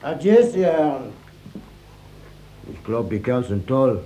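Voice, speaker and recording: A man playing a brisk, matter-of-fact servant in a German radio play, on a noisy old recording. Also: medium pitch, big pitch swings. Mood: matter-of-fact, impatient. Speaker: actor in a radio drama.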